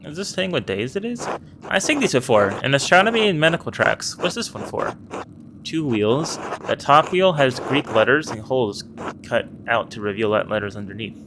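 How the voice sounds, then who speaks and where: A man talks through a narrative game in a casual, curious way, wondering aloud as he goes. His voice sits at a middling pitch and moves around a lot. A clear background noise runs under the recording. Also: big pitch swings, moderate pace. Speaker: let's play narrator.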